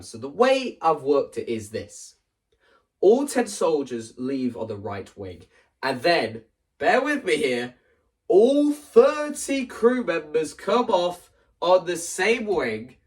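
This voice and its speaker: Young male creator, lighter-pitched voice, chatty and animated explainer delivery, recorded a little away from the mic. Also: recorded from a distance. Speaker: video creator presenting a stage play concept. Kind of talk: casual explanatory monologue.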